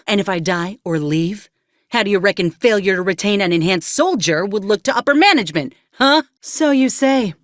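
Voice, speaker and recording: A woman's voice: mid-range voice, no echo, close to an everyday voice. An actress playing a plainspoken, stubborn woman soldier in a video game, with folksy, dry, blunt phrasing. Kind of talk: video game character dialogue.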